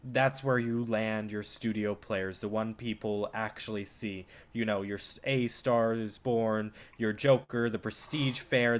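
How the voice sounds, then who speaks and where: A man's voice: lowish voice, close to an everyday voice. A man in a staged reading, playing a cynical, vengeful film distribution boss who belittles people and swears. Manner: profane, belittling, driven.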